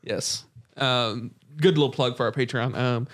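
A man's voice: medium pitch, steady tone, a little slow, a bit bright. A young man hosting a casual podcast chat in a home studio, rambling with frequent restarts. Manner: rambling, restarts, plugging the show. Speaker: podcast host.

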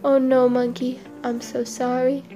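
A woman's voice: fairly high pitch, a little fast, soft background music, close to an everyday voice. A woman voicing a meek, apologetic male crocodile husband in a storybook tale, hesitant and pleading. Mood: sorry. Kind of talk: casual storybook character voice.

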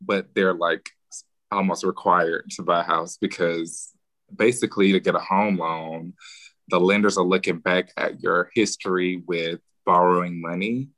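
A man speaks in a very low, forceful, bright-toned voice. He explains things in a relaxed, advisory way. The sound is dry and close, with no room echo. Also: measured pace. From Birmingham, Alabama. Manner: relaxed, explanatory advice giving. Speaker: guest, real estate agent. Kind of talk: casual podcast interview.

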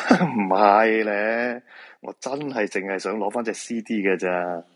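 A man's voice: lowish voice, fairly even intonation, measured pace, natural voice. A man with a Hong Kong Cantonese accent acting a weary, persuasive feng shui master in a supernatural radio drama. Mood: wry, placating.

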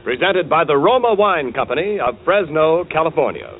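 A man's voice: fairly high pitch, lively intonation, normal speed. A male announcer in 1940s radio advertising style, polished and emphatic. His intonation swings widely, and the old broadcast is thin and tinny with some hiss. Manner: polished, emphatic sales delivery. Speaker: radio announcer and sponsor pitchman.

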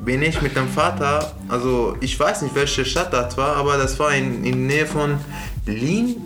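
Young guy w chatting, kinda rambly, middle pitch, music behind him. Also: moderate pace. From Iran. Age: young adult. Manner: rambling, hesitant, searching for words. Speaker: podcast co-host, student. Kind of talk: casual chat.

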